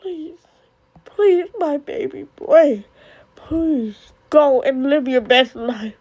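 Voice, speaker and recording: A woman's voice: high-pitched, animated, extremely slow, darkish tone, exaggerated voice. A woman playing an overwhelmed, grieving mother at breaking point, with tearful, emotional delivery in a dramatic monologue. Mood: tender, tearful. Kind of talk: dramatic solo monologue acting.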